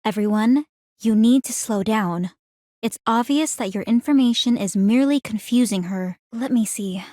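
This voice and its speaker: Woman voicing a composed, analytical young game heroine, higher-pitched. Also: a little mellow, dry recording, clean studio sound, close to an everyday voice. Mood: calm, analytical. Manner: measured, formal, articulate. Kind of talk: scripted video game character dialogue.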